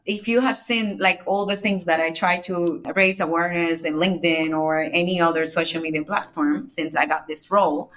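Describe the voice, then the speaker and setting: A woman from the Republic, giving measured step-by-step answers as a podcast guest. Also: fairly low pitch, fairly even intonation, a bit bright, low-quality recording. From Dominican Republic. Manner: measured, explains step by step with small restarts. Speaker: climate negotiator, podcast guest. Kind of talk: explanatory interview answers.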